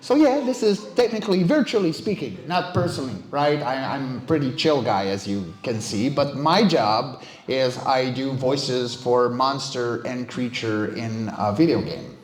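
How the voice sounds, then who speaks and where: A man's voice: mid-range voice, animated, a little slow, hardly any pauses. A man in his forties giving a casual, joking, self-deprecating talk to a live audience as a guest speaker. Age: 40s. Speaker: guest speaker, monster voice actor and vocal coach. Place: indoor venue at an evening meetup. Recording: roomy, echoing live talk venue.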